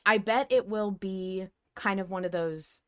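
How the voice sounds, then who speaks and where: A woman hosting a podcast in a casual fan discussion, talking in an animated, excitable way and acting out her reactions. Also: medium pitch, unhurried, smooth. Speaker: podcast host.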